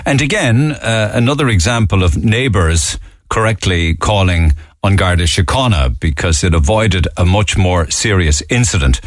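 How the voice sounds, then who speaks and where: An Irish man hosting a talk radio show, reading listener texts and taking calls in a measured, earnest way. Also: low-pitched, no room sound, studio quality. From Ireland. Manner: measured, earnest, with frequent fillers. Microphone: studio microphone.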